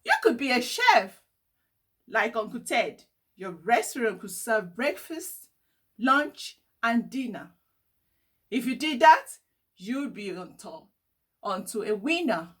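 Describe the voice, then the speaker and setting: A woman's voice: a little high, very animated, slow, pauses often, breathy edge, heavily acted. A woman voicing an encouraging, upbeat older boy cousin in a storybook, reassuring and enthusiastic.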